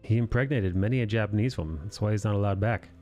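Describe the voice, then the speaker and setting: A low-voiced man hosts a casual live stream, trading jokes with friends and laughing easily. Faint music plays underneath his talk. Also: fast talker, a little mellow. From United States. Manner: jokey, puts on voices to mimic friends, laughs often. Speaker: streamer host chatting while drawing. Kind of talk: casual chat among friends.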